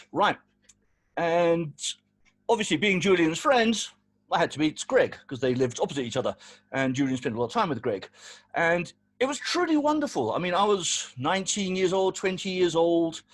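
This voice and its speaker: A man in his sixties from South Africa, giving an informal eulogy at a wake with a big voice and rambling, fond storytelling full of restarts. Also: sits high. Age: 60s.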